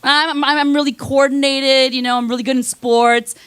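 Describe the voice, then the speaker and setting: A woman's voice: sits high, level tone, moderate pace, a bit bright, clean tone. A young woman performing a stand-up set in a comedy club, rambling, swearing casually and laughing at her own slips. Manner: rambling, self-deprecating, loses her place and laughs at herself.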